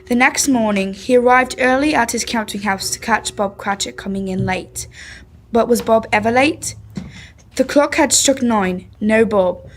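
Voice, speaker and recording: A woman's voice: fairly high pitch, measured pace, a little hiss or hum. A young woman narrating a classic story in scripted old-time radio drama style. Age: teenager.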